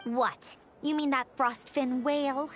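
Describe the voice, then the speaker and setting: A woman playing a haughty, prickly young woman in a video game, scoffing and easily flustered in an exasperated, sharp-tongued way. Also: sits high, fairly even intonation, takes it slowly. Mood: irritated, defiant. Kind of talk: dramatic video game voice acting. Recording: music behind the voice. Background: music.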